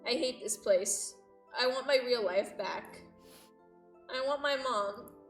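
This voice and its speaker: A woman's voice: on the high side, takes it slowly, a bit bright. A woman voicing a sad, homesick young boy as she reads visual novel dialogue aloud. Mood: sad, tearful. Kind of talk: reading game dialogue aloud. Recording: roomy echo, distant mic, music behind.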